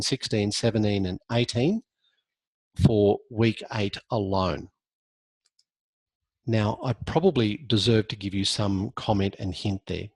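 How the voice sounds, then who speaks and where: A man giving a recorded university law lecture, his voice hoarse and husky as he loses it. He speaks a little low and goes at a measured pace, pausing on ums and correcting himself. The sound is dry and close. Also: no echo. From Australia. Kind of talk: online lecture walkthrough.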